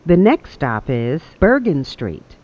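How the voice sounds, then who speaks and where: A transit announcer reading scripted subway train announcements in a formal, measured way. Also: mid-range voice, very animated. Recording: dull, lo-fi audio.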